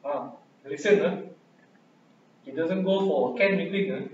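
A man's voice: on the high side, unhurried, muffled recording, a little hiss or hum. An older man with a Malaysian accent giving a training talk into a handheld microphone in a classroom, telling anecdotes and acting out quoted voices. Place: classroom with projector. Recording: echoey room from far off.

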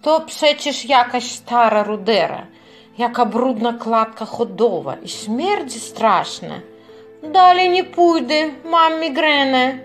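A haughty, fussy society wife acted by a woman in a cartoon. She speaks in a high voice at a slow pace, demanding and complaining, with faint guitar music behind. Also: lively intonation. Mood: disgusted, complaining. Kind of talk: amateur stage-play acting in a cartoon.

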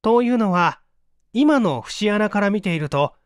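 A man's voice: high voice, animated, unhurried, smooth, no echo, clean studio sound. A man voicing a smug, teasing amateur detective who boastfully explains his tricks in a mystery audiobook. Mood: musing, excited. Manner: taunting, self-satisfied, explanatory. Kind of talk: dramatic audiobook reading of a mystery story.

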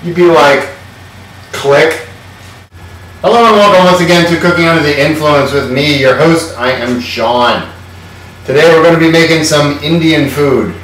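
A man's voice: on the high side, normal speed, a bit bright, recorded from a distance. Sardonic man in an echoey kitchen. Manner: sardonic, irreverent, swears casually. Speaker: host. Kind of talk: comedic home cooking show. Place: home kitchen.

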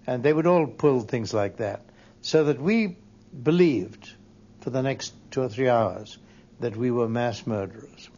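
Man, gravelly, medium pitch, halting storyteller, interview recollection. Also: a little mellow. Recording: dull, muffled audio.

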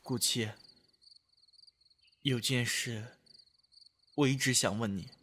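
An actor playing a gentle, concerned young companion in a costume radio drama. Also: male voice, medium pitch, slow pace, a little hiss or hum. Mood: gentle probing.

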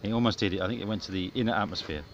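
A man's voice: on the low side, very fast. Jokey man, muffled noisy outdoor recording. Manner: jokey, mock-dramatic. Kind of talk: casual playful home-video commentary. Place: small back garden, outdoors. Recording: muffled, noisy outdoor camera audio with clicks.

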